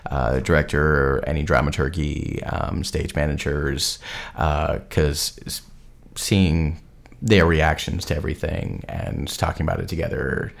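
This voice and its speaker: A man from Massachusetts, an actor co-hosting a podcast, talking casually and explaining things in a thoughtful, measured way. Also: rumbling low, vocal fry. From Massachusetts, USA. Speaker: podcast guest host, actor. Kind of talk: casual chat.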